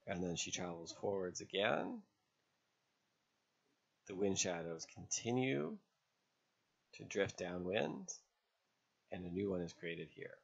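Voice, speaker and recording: Man, very low voice, crisp delivery, instructor narration, measured with pauses, slightly dull recording. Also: lively intonation. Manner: measured, step-by-step explaining with pauses. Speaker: sailing instructor. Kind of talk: instructional narration over drawn diagrams.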